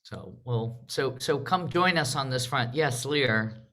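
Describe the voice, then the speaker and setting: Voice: mid-range voice, lively intonation, slow, bright, forceful tone. A host moderating a live theatre discussion in a conversational way, prompting others with questions.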